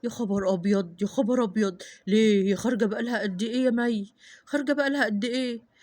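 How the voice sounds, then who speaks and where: A woman's voice: a little high, quick pace, darkish tone, voice pushed down, close to an everyday voice. A woman voicing a worried adult husband and father with an Egyptian accent, firing off anxious questions. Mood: alarmed. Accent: Egyptian Arabic.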